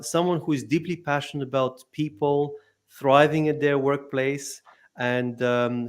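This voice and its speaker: A man hosting an interview podcast, speaking with many fillers and restarts as he welcomes and questions his guest. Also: mid-range voice. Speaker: podcast host.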